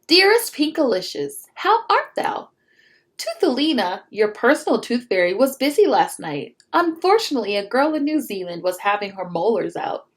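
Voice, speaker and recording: A courtly Cupid from a children's storybook, voiced by a woman reader. The voice is high with a lively rise and fall. He is formal and flowery, gently apologetic as he delivers his message. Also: moderate pace. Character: courtly, apologetic male Cupid writing a letter.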